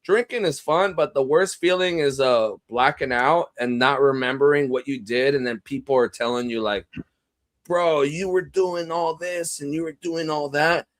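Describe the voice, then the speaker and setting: A man's voice: fairly high pitch, moderate pace. A man around thirty on a panel, talking casually in a slangy, rambling way. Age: 30s. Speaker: panel guest.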